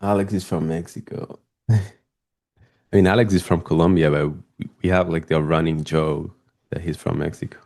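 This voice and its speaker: Deep-voiced Spanish man calling trades. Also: normal speed, gravelly. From Spain. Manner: casual, excitable, chatty with viewers. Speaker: live trading streamer. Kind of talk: live market commentary.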